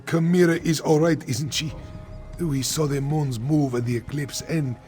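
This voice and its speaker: An actor playing a weary, grateful wounded soldier in a fantasy game, speaking in an earnest, measured way. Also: male voice, fairly high pitch, steady tone, a little mellow, soft background music, natural voice.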